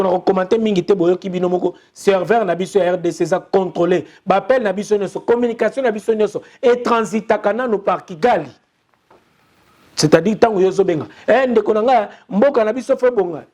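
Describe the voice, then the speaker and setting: A man's voice: high-pitched, brisk, darkish tone. A young man with a Congolese accent giving urgent, insistent news commentary and repeating his appeals to listeners. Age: young adult. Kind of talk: impassioned commentary.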